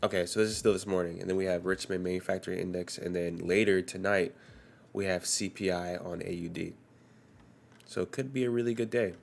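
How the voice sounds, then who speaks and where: Low, flat-voiced man with a cold. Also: brisk, creaky, slight background noise. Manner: reads market headlines aloud, loose and conversational. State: recovering from a cold, coughing. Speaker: forex trading streamer. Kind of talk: casual live-stream commentary.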